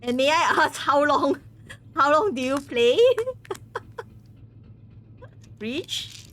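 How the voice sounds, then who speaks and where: A woman's voice: sits high, very slow, fairly bright tone, a little hiss or hum. A woman from Malaysia, chatting casually with viewers on a game stream. She giggles often and stumbles over words.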